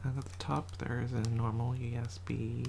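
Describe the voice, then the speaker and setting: An ASMR creator in a quiet house, whispering a soft, rambling show and tell and often correcting themselves, voice a little rough from a cold. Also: mid-range voice, flat delivery, moderate pace, slight background noise. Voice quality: whispered, soft-spoken delivery. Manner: rambling, self-correcting, chatty.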